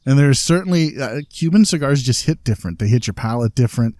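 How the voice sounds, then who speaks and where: A man's voice: medium pitch, animated, fast, darkish tone, no room sound, clean studio sound. Opinionated man talking cigars outdoors. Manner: opinionated, complaining, enthusiastic aficionado. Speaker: podcast co-host. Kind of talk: casual chat. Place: outdoors, light breeze.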